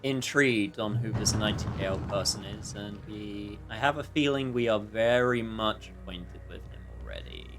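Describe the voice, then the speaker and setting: A man's voice: fairly low pitch, sing-song, normal speed, hardly any pauses. A man doing casual gameplay commentary as a streamer, exclamatory and theatrically exasperated, with mild cursing. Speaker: gaming streamer. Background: video game music.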